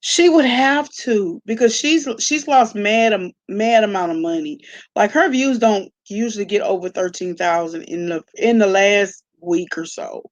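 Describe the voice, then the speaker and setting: A woman's voice: mid-range voice, a little slow. A woman hosting a casual livestream, talking to viewers in an informal, slangy, conversational way. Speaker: live-stream host reacting to online gossip.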